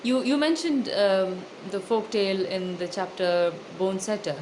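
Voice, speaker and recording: A woman with an Indian accent giving thoughtful, articulate interview answers as an author, in a measured way with hesitant pauses. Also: mid-range voice. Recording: loud background noise.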